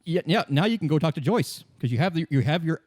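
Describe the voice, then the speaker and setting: A man narrating a tabletop roleplaying game as game master in a casual, halting, conversational way with dry asides. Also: a little high, lively intonation, darkish tone, no echo.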